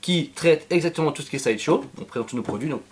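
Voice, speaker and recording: A man with a Belgian French accent, co-hosting a casual review of a collectible statue, talking in quick, rambling runs full of fillers and restarts. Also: fairly high pitch, expressive.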